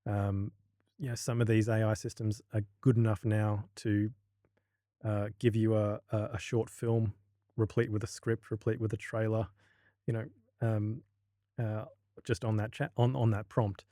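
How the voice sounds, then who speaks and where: Mellow-voiced Australian man, hesitant speech. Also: fairly low pitch, level tone, a little slow, dry recording, studio quality. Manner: hesitant, many restarts and fillers. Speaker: university lecturer, podcast guest. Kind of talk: casual podcast interview.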